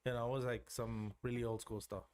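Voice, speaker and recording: An easygoing man in his thirties talks as a guest on a casual podcast. He speaks at an ordinary pitch and keeps dropping in "like". He laughs easily as he talks. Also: little pitch movement, brisk, a little mellow, clean tone. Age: 30s. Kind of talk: casual chat.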